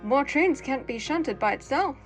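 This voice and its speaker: High, lively female diesel engine, voiced by a woman. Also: unhurried, faint music behind. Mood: busy, brisk. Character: female quarry diesel engine, impatient and earnest. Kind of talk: amateur audio drama voice acting.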